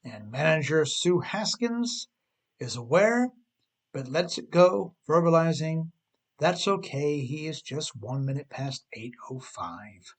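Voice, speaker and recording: Man, slightly high voice, author narration, scripted audiobook reading, emphatic storytelling. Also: expressive, slow. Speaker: author reading his own writing.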